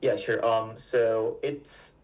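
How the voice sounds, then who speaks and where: A man in his twenties from South Korea, a guest answering interview questions in a halting way, with many fillers and restarts. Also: fairly low pitch, steady tone, a little mellow, recorded from a distance. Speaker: guest, PhD student.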